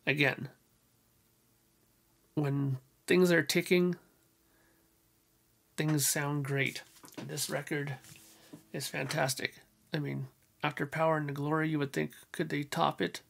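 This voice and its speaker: A man with a hoarse voice casually talking through his record collection in a small home room, clearing his throat often. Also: on the high side, level tone, slow pace, long pauses, fairly bright tone. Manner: enthusiastic, frequent throat clearing. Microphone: headphones on, webcam or desk mic.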